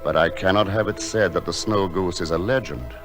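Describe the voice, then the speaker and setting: An actor playing a gentle, misshapen recluse painter, telling his story in formal, poetic, earnest language. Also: deep voice, brisk, lightly acted. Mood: grave, reflective. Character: solitary, misshapen painter living in a lighthouse, gentle and wistful. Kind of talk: dramatic narration in an audio drama. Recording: music behind the voice.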